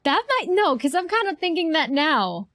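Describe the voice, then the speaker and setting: A woman's voice: high-pitched, very animated, normal speed, fairly bright tone, no room sound, studio quality. A woman streaming casually, chatting and thinking aloud as she reacts to a video game. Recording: game music behind the voice.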